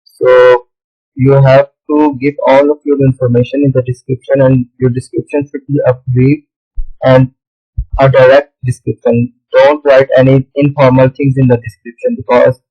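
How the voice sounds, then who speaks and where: A man whose first language is Urdu, walking through a screen tutorial in a halting way, often pausing on "okay, so". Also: medium pitch, level tone, husky, highs cut off, soft background music. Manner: halting, with many "okay, so" fillers. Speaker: tutorial host. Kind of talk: screen-recorded tutorial walkthrough.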